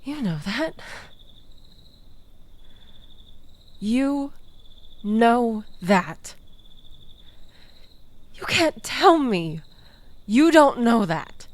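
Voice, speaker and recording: Woman acting a slow, high-voiced, manipulative ex-girlfriend. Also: lively intonation. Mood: intense, longing. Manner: halting, dramatic, sighing, sliding into breathy whispers. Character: manipulative, tipsy, pleading ex-lover woman. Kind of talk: intimate audio roleplay acting.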